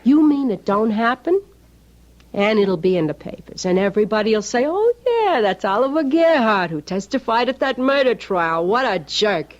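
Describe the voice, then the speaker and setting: High swooping nagging wife, acted by a woman, cynical working-class type, scornful, muffled old radio play sound. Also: expressive, normal speed, close to an everyday voice. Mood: insistent warning. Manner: insistent, colloquial, scornful. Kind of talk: radio drama acting. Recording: dull lo-fi radio recording.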